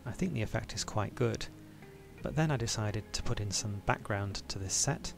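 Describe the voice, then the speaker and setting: Low, gravelly-voiced man hosting a review. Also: lively intonation, normal speed, over music. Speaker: toy-building channel host. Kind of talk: scripted review narration.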